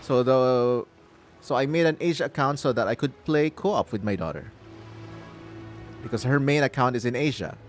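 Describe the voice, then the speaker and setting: A man's voice: medium pitch, lively intonation, moderate pace, long pauses. A middle-aged man from the Philippines giving casual, rambling commentary on a livestream as he plays a game. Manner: chatty, rambling. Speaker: game streamer. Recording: game music behind.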